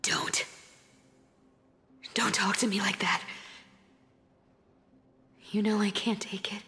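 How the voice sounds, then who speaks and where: An actress playing a sly, guarded trickster woman in a video game, teasing yet wounded, often dropping into hushed asides. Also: mid-range voice, little pitch movement, slow, in a large hall.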